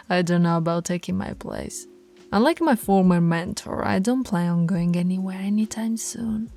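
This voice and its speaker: A woman playing a dominant, teasing female alpha werewolf pack leader in an ASMR roleplay, commanding and often slipping into a breathy whisper. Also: fairly low pitch, normal speed, dark tone, soft background music. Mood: indulgent, smug.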